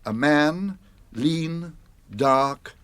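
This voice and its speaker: A man playing a grave, kindly wizard in a radio drama, speaking in a measured, declamatory way. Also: a little high, lively intonation, a bit bright, clean tone, no room sound, exaggerated voice. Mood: grave, urgent. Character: grave, kindly wizard reading out his letter. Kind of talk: classic radio drama, verse recitation.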